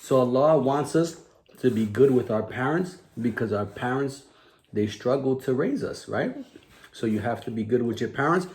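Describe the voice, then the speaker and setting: A man's voice: mid-range voice, animated, moderate pace, a little mellow. A man hosting a children's story time, explaining simply in a chatty way and often checking with "right?". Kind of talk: children's storytime reading with casual chat.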